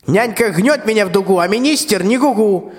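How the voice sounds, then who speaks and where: Old tsar played by a man: high-pitched, imperious, folksy verse, long hall reverb. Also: lively intonation, moderate pace. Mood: indignant, stubborn. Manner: commanding, folksy rhymed speech. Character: vain, bossy, balding very old tsar. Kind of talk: stage-like rhymed radio play.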